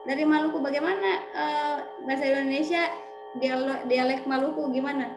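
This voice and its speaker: A woman's voice: high-pitched, fairly even intonation, fairly quick, over music, poor audio. A woman lecturer teaching an online class over a video call, asking students lots of questions and checking in with tag questions.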